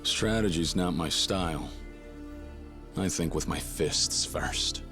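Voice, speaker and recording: An actor playing a loyal, stubborn young fighter in a video game cutscene, speaking bluntly and earnestly. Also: male voice, low-pitched, slow pace. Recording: game audio with music behind.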